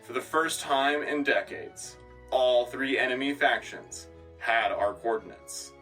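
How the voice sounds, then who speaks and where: A man's voice: medium pitch, unhurried, fairly bright tone, recorded from a distance, faint music behind, lightly acted, low-quality recording. A man playing an earnest, patriotic wartime radio anchor, delivering a solemn, declamatory scripted newscast. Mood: solemn, rousing. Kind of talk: scripted propaganda newscast narration.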